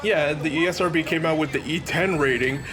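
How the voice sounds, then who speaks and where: Higher-voiced man doing casual game commentary. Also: fairly quick. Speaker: let's play commentator. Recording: game music behind voice.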